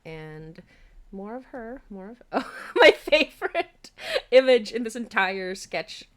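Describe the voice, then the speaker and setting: A woman's voice: medium pitch, sing-song, slow pace. Bubbly woman hosting a livestream. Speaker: livestream host. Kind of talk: casual livestream chat.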